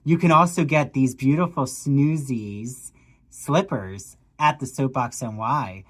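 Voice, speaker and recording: A woman in her thirties co-hosting a podcast, reading sponsor spots in a gushing, animated way. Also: rumbling low, slow pace, slightly rough, no echo. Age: 30s. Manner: gushing, animated, with theatrical gasps. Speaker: podcast co-host. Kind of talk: casual chat with sponsor reads.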